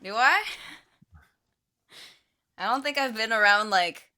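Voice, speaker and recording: A woman's voice: on the high side, takes it slowly, sharp tone, clear, resonant tone. A woman co-hosting a music review stream, playful and giggly in casual chat.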